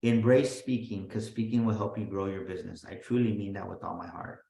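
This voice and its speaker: A man talking as a guest business coach, energetic and emphatic, often restarting and repeating his words. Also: fairly low pitch, brisk, creaky. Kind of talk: motivational interview talk. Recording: roomy echo.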